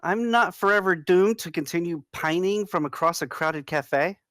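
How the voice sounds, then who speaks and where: A woman's voice: lowish voice, moderate pace, fairly bright tone, no room sound, studio quality, lightly acted. A woman playing a flirty, confident woman in her twenties at a screenplay table read. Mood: teasing.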